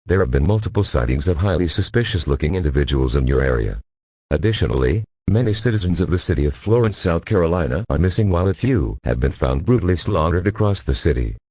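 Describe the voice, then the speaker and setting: A man with an extremely deep voice delivers a formal emergency alert. His tone is husky and his pitch moves a lot as he reads, in the official manner of a public announcer. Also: expressive, quick pace, a little mellow, no room sound.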